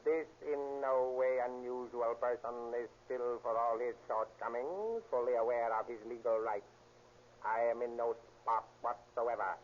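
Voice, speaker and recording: A man acts out a sly, unruffled merchant crime boss in a vintage radio play. He speaks in elaborate, mock-humble courtesies at a middle pitch. The old recording is muffled, with clear background noise. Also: unhurried, lightly acted. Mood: calmly defiant. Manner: elaborately formal, mock-humble, flowery politeness. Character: unflappable, sly Chinese merchant and dope boss. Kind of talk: 1930s radio acting.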